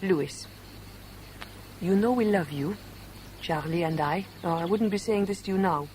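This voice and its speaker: An actress playing a blunt but affectionate wife and old friend in a 1970s radio drama, forthright and emphatic. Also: female voice, lowish voice, a little mellow, natural voice. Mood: firm, affectionate. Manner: forthright, emphatic, measured phrasing. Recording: faint hiss on old radio broadcast.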